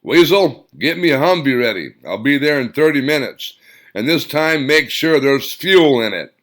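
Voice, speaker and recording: A man voicing a gruff male army commander who shouts his orders. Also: mid-range voice, expressive, moderate pace, voice pushed up, lightly acted. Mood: barking.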